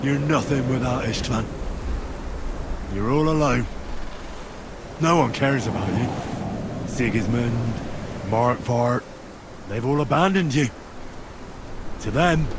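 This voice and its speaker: An actor playing a cruel, merciless male warrior in a video game, with menacing, deliberate delivery. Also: mid-range voice, expressive, very noisy, lightly acted. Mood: cold, cruel. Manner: deliberate, menacing taunts breaking into shouts. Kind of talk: dramatic video game acting.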